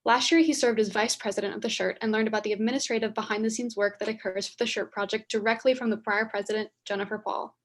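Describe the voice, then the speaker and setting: A young woman with a soft voice, a student treasurer reading a formal nomination letter aloud. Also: medium pitch, steady tone, fast, hardly any pauses. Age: young adult.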